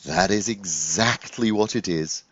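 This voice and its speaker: A man voicing a kind, wise, soft-spoken rabbi in a children's storybook, with a teacherly manner. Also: low-pitched, measured pace, no room sound, highs cut off. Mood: kindly, assured.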